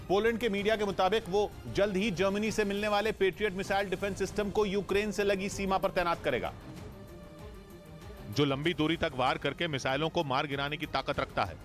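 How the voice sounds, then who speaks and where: A man reading a scripted news bulletin in a steady, formal newsreader delivery. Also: a little high. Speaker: news reader.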